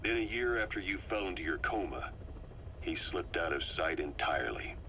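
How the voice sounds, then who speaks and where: An actor playing a seasoned, shrewd former Soviet spy in a video game, coolly composed, giving a deliberate, confidential briefing with dramatic pauses. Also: male voice, low voice, animated, sharp tone, exaggerated voice, poor audio. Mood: sober, confiding. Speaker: intelligence operative briefing an old comrade. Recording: faint noise, cassette tape briefing.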